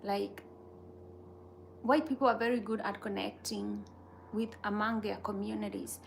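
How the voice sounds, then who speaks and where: Soft, creaky-voiced woman chatting slowly. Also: mid-range voice, fairly even intonation, a little hiss or hum, poor audio. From Kenya. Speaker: vlogger chatting with viewers. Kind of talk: casual chat.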